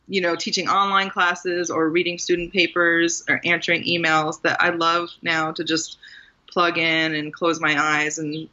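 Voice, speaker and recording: A woman's voice: lowish voice, pushed and bright, lo-fi sound. A woman from Maryland, a guest author, talking casually in an interview with frequent fillers and laughs. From Maryland, USA. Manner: chatty, laughs often, many fillers.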